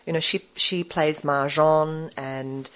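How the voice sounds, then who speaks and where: Low-pitched woman in her forties, earnest reflective guest, halting delivery. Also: steady tone, a bit bright, smooth. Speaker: guest entrepreneur. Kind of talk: interview conversation.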